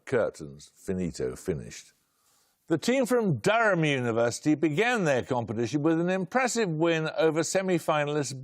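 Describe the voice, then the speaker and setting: An older British man hosting a studio quiz show, reading questions in a brisk, dry, clipped way. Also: medium pitch. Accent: British English. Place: television studio.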